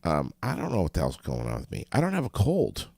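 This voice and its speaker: A man with a very low, gravelly voice that swings widely in pitch, sounding stuffed up. He co-hosts a casual local radio chat, loose and joking. The studio sound is close and dry. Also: wildly swooping pitch, fast, a little mellow, dry recording. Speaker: radio show co-host.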